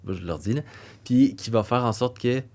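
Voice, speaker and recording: A man's voice: medium pitch, moderate pace, darkish tone. A young man with a Québécois accent co-hosting a coaching podcast, talking in an earnest, rambling way that trails off mid-thought. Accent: Québécois French. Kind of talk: casual chat.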